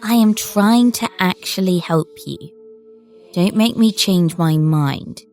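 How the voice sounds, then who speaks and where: A woman playing a stern, precocious little girl in an audio horror drama, speaking in a formal, measured way. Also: fairly low pitch, darkish tone. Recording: music under the voice.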